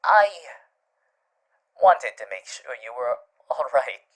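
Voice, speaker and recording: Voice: medium pitch, sing-song, slow pace, a bit bright, exaggerated voice. An actor voicing a suave, theatrical male demon who soothes the listener tenderly in an ASMR roleplay, often dropping to murmurs. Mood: gently reassuring.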